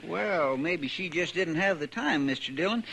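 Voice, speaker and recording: An actor playing an earnest, deferential frontier deputy with a folksy American drawl, in a radio western. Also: male voice, on the high side, animated, normal speed, vocal fry, a bit bright, exaggerated voice. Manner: drawling, rambling, polite. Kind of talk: 1950s radio western acting. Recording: very noisy vintage radio recording.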